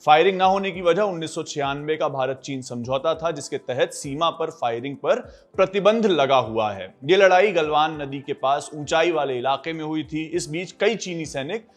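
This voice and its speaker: A man's voice: a little high, moderate pace, hardly any pauses. A man reading a scripted news explainer as a narrator.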